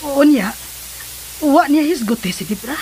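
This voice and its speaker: A woman's voice: high-pitched, expressive, measured pace, a little mellow. A woman with a Cebuano accent playing a concerned, questioning mother in a radio drama. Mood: concerned, probing. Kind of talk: Filipino radio drama acting. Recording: noisy, hissy radio drama recording.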